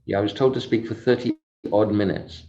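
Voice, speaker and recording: Male swami as pilgrimage guide, low voice, halting devotional narration, lo-fi sound with kalimba behind. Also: fairly even intonation. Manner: halting, musing, fragmentary phrases with repetitions. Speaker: swami guiding a virtual pilgrimage. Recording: dull lo-fi audio. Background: kalimba music, soft mallet-like tones.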